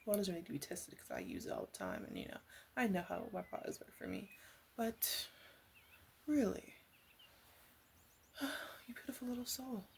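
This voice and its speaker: A woman playing a caring, teasing witch in a soft ASMR roleplay voice that slips into whispers. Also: medium pitch, huge pitch swings, a little hiss or hum. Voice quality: soft, breathy, slipping into whisper. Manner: gentle, unhurried, playfully teasing. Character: female witch, flirty, caring, teasing potion seller.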